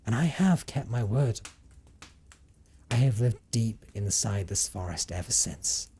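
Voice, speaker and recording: A man's voice: on the low side, animated, mellow, a little hiss or hum. A man playing a brooding, possessive vampire recluse in an audio roleplay monologue, with formal, theatrical phrasing and a teasing streak. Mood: solemn resolve. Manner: formal, theatrical, deliberate phrasing with condescending endearments. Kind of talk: dramatic first-person audio roleplay monologue.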